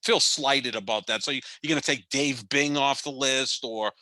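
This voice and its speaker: A man in his fifties argues his sports opinions in a loose, rambling way, often tripping over his own restarts. His voice is bright and forward, and the recording is dry and close, with no room sound. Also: mid-range voice, normal speed, no room sound. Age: 50s. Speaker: podcast co-host. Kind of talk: casual sports debate.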